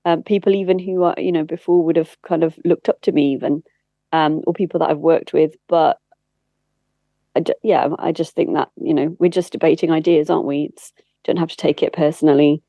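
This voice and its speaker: A woman's voice: deep voice, steady tone, a little fast, warm tone, no echo, highs cut off. A woman speaking candidly and earnestly as an interview guest, explaining things in a conversational way. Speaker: interview guest, campaigner and former spokesperson.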